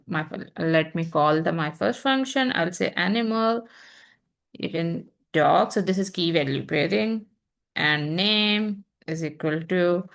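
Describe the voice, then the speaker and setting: A young woman teaching programming in an unhurried, think-aloud way, pausing and restarting as she types examples. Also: lowish voice, vocal fry. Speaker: programming instructor. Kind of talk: screen-recorded coding tutorial.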